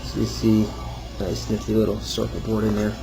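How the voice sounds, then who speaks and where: A man with a fairly low voice talks through a hands-on demo of his own project. His delivery is informal and unscripted, wandering a little as he goes, with a self-deprecating streak. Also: monotone, normal speed, vocal fry, music in the background, rough recording. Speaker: hobbyist builder showing a homemade project. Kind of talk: casual off-the-cuff demo narration.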